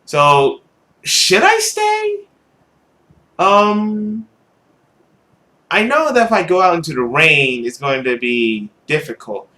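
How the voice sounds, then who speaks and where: Male let's play host, fairly high voice, casual commentary and dramatic visual novel reading. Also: sing-song, slow, long pauses, pushed and bright. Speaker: gaming video host.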